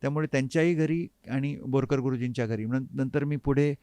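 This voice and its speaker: Man in his fifties, smooth clear voice, halting reflective storytelling, dry studio sound. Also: mid-range voice, warm tone, no room sound. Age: 50s. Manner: hesitant, pausing mid-sentence to find words. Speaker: interview guest, harmonium player. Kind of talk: reflective reminiscing in an interview. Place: recording studio.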